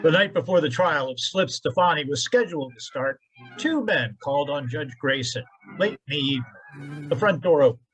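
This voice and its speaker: A man's voice: a little high, expressive, moderate pace, fairly bright tone, lo-fi sound, soft background music. A man narrating an old-time radio drama in a dramatic, scripted style.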